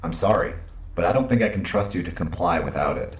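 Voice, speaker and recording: An actor playing a stern but uneasy male spaceship captain in an audio drama, earnest and halting in his delivery. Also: sits low, expressive. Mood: firm, uneasy. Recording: roomy echo, faint background noise.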